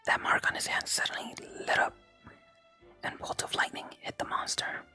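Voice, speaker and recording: An ASMR streamer voicing an eager young village girl from a video game in a breathy whisper. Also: moderate pace, pushed and bright. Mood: excited, admiring. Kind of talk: whispered game dialogue reading. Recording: close whisper with game music behind.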